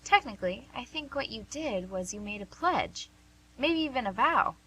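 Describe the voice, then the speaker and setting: Woman acting a bright, high-voiced young questioner. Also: animated, moderate pace, husky, heavily acted, poor audio. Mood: gently challenging. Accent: American. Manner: questioning, conversational. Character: young, inquisitive, gently probing female listener. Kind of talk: radio play acting.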